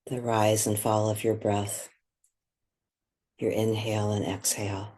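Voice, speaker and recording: A man guides a meditation at a very slow, unhurried pace. His voice is soft and breathy, and he leaves long pauses between phrases. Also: fairly low pitch, monotone.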